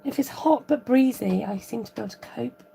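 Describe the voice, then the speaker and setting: A woman hosting a live card-making tutorial, thinking aloud as she works, chatting with viewers and reading out their comments. Her voice is a little croaky. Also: on the high side, a little fast, warm tone. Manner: rambling, thinks aloud while working. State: slightly croaky voice. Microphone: overhead camera above a craft table, voice from off-frame.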